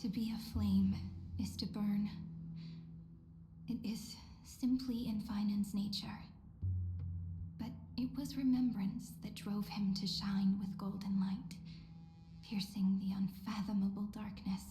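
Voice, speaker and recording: A woman voicing a serene, thoughtful young woman in a fantasy video game, composed, soft and poetic. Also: mid-range voice, little pitch movement, takes it slowly, long pauses, close to an everyday voice. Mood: calm, wistful. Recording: echoey with music behind.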